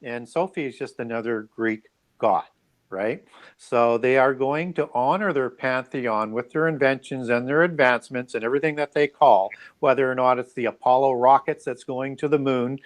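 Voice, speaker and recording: Man explaining at length as a guest. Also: mid-range voice. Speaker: interview guest, author. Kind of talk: explanatory interview talk.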